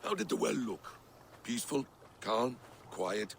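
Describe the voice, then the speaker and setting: A scheming trickster god asks probing, mock-innocent questions. His voice is gravelly and swoops around in pitch. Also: very animated, measured pace, a little hiss or hum. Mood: sly.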